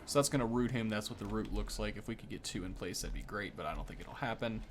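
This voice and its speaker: A man's voice: lowish voice, quick pace, very noisy. A young man giving casual, off-the-cuff game commentary, thinking aloud informally as he goes. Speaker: gaming video host.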